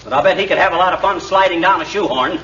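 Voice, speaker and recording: An actor playing a blustering, exasperated husband who grumbles and wisecracks in a 1940s radio comedy. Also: male voice, sits high, quick pace. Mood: indignant, pleading. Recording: noisy, dull 1940s radio recording, distant.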